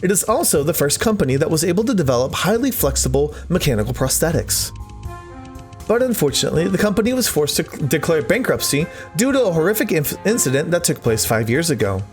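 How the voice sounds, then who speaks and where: A man voicing a formal, genteel male game character with measured, flowery speech. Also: fairly high pitch, very animated, soft background music. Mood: grave explaining.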